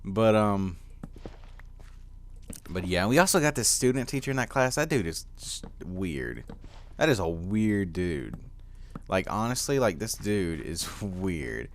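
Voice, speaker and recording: A teenage boy with a deep voice giving casual gameplay commentary, rambling with lots of fillers and easy laughs. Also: very animated, measured pace. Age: teens. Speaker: gaming commentator.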